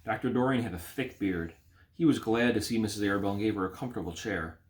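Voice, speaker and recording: A man reading a children's story aloud in an expressive, animated way. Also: on the low side, quick pace.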